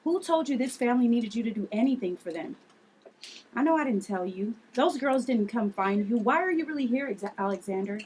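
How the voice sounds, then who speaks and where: Confrontational, fiercely protective aunt actress at a table read, voice a little high, faint room noise. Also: female voice, lively intonation, a little fast, darkish tone, lightly acted, rough recording. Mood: threatening.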